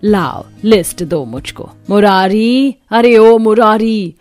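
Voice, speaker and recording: A woman's voice: mid-range voice, animated, takes it slowly, a little mellow, no room sound, faint music behind. Woman playing a haughty, bossy rich mother-in-law. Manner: imperious, scolding.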